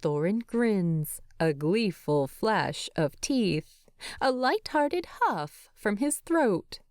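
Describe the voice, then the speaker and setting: A woman narrating a story in an expressive, tender way. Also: medium pitch, extremely slow, no room sound, studio quality. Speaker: fan fiction narrator.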